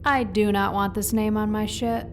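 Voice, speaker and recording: A woman's voice: mid-range voice, fairly even intonation, normal speed, noisy background, natural voice. A woman playing an exasperated, sarcastic mercenary pilot with a blunt manner. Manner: blunt, sardonic.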